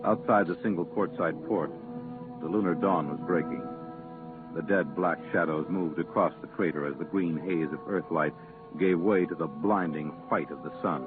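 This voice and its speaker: A man's voice: sits low, normal speed, close to an everyday voice. An actor narrating a 1950s science fiction radio drama as a formal, grave, resonant male announcer. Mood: grave, dramatic. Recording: muffled 1950s radio recording, music behind.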